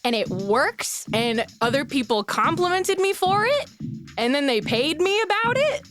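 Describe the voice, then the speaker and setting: A woman's voice: sits high, sing-song, unhurried, fairly bright tone, soft background music. A woman talking casually and improvising as a player in a tabletop game, giggly and playfully smug. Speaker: tabletop role-playing player.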